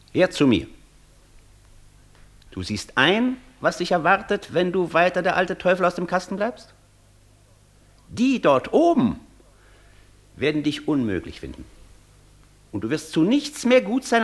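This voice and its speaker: An actor playing a proud, worldly scholar in a theatrical radio play, speaking with assured, ironic declamation. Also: male voice, a little high, no room sound.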